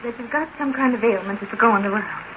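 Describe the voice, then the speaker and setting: A woman's voice: on the high side, fairly quick, natural voice. An actress playing a put-upon, patient farm woman with a rural American accent, plain-spoken and placating, in 1940s radio drama style. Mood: weary, placating. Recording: muffled, hissy 1940s radio recording.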